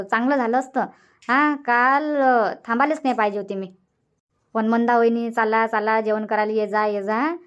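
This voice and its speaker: A woman's voice: fairly high pitch, lo-fi sound, natural voice. A woman playing a fretful, regretful village sister in a cartoon comedy, plaintive and repetitive, with a Varhadi Marathi accent. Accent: Varhadi Marathi of Vidarbha. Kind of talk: dialect cartoon comedy dialogue.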